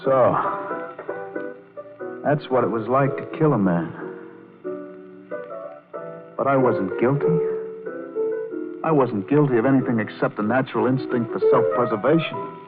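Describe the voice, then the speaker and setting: A man's voice: mid-range voice, unhurried, long pauses, natural voice. A guilt-dodging, nervous crime narrator from an old radio play, performed by a male actor. He confesses and pleads with taut urgency, as a man cornered. The sound is narrow and dated, with music playing behind him. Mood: guilty brooding. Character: anxious, self-justifying piano player caught in a killing. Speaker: narrator and lead character. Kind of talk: old-time radio crime drama acting, first-person narration. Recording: muffled vintage radio recording with music.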